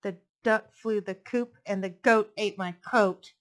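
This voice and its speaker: Slow, sing-song woman reading to children. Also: mid-range voice, steady tone. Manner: sing-song, playful rhythmic delivery. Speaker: librarian reading storytime. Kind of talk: expressive picture-book read-aloud for children. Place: living room, indoors. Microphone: headset mic.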